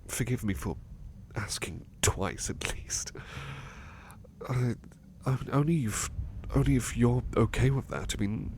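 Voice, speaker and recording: A man playing a devoted, anxious butler with a haunted past in an intimate ASMR roleplay, speaking softly and haltingly with stammers. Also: mid-range voice, slight background noise. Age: middle-aged or younger adult. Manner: hesitant, stammering, soft-spoken, drifting into whispers.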